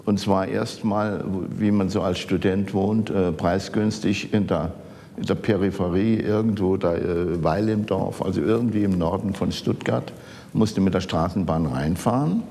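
Elderly man, very low-pitched, reminiscing. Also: fairly even intonation, mellow. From Saarland, Germany. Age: older, 70s or 80s. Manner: halting, searching for words. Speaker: architect interviewed as an eyewitness. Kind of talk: conversational reminiscence. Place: large office archive room, indoors. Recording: echoey room with rumbling background noise.

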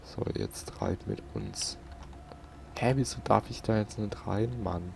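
Male let's player: low gravelly voice, casual grumbling, game noise behind. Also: wildly swooping pitch, slow pace. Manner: grumbling, talks to himself. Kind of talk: casual gameplay commentary. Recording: game sounds and ambience behind.

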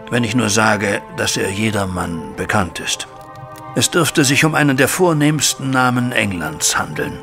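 An actor playing an anxious, respectable banker in an audio drama, recounting events in formal, wordy, deferential speech. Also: male voice, mid-range voice, gravelly. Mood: formal, troubled. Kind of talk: audio drama acting, narrating a flashback.

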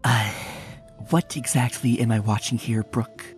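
A woman voicing a surly, bored female pony warrior with deadpan delivery in a cartoon comic dub. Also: rumbling low, a little slow. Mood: baffled. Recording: music behind the voice.

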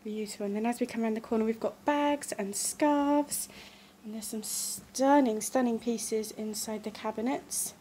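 A woman's voice: a little high, moderate pace, mellow, a little hiss or hum. An enthusiastic English woman giving a walking tour of a small gift shop, her voice slightly muffled by a face mask, filmed on a handheld phone. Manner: enthusiastic, gushing praise. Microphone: phone camera held while walking.

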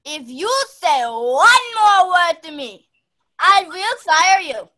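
A woman's voice: very high-pitched, lively intonation, slow, bright, forceful tone, heavily acted. A woman playing a haughty, dramatic wealthy lady in a mystery radio play, with an imperious, theatrical delivery. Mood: indignant. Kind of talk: stage-style mystery radio play acting.

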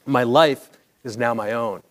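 An American man in his forties reads a scripted personal story on stage in a measured, polished broadcaster-like delivery. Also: mid-range voice. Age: 40s. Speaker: storyteller, former baseball broadcaster. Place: theatre stage, indoors, at a lectern.

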